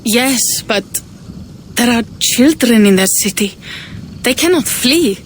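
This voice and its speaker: A woman's voice: a little high, lively intonation, slow pace, slight background noise. An actress playing a troubled female Viking raider in a video game cutscene, speaking earnestly and questioning. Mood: uneasy.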